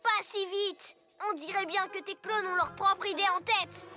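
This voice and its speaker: A boastful boy mad-inventor with a high, childish voice. He gloats and mocks theatrically like a cartoon villain, with loud music underneath. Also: steady tone, measured pace. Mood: commanding. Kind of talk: animated children's cartoon dubbing. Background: loud cartoon score.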